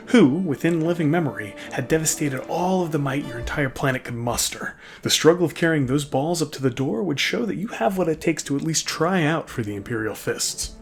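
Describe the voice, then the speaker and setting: Male comedic narrator, slightly high voice, mock-epic and sardonic with irreverent asides, music underneath. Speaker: narrator of a comedic fan lore video. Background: background music.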